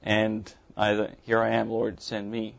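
Earnest South African pastor, mild accent. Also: male voice, fairly low pitch, completely flat delivery, creaky, lo-fi sound. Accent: Afrikaans-accented English, mild. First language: Afrikaans. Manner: earnest, halting, many ums and uhs. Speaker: visiting pastor. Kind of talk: sermon testimony to a congregation. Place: church sanctuary.

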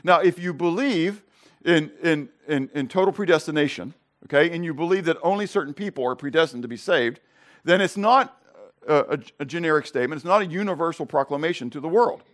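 A man's voice: lively intonation, fairly quick. Man preaching a Bible study. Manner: conversational, frequent "okay?" and rhetorical questions; rises louder and higher on emphasis. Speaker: pastor. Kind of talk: sermon with Greek word study.

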